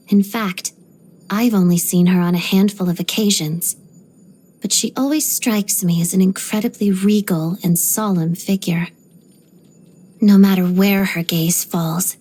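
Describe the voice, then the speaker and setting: An actress voicing a reserved, stoic young ballerina in a video game, speaking softly and breathily in a measured, restrained way. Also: female voice, medium pitch, lightly acted. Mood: solemn, reverent. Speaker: video game character voice actor. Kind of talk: scripted game voice lines, introspective monologue.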